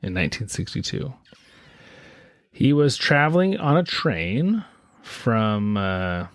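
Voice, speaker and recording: A man's voice: on the low side, sing-song, slow pace, dry recording. Wry man telling a story, dry mic. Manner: wry, teasing, builds up the story dramatically. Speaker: podcast co-host. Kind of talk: casual chat, storytelling.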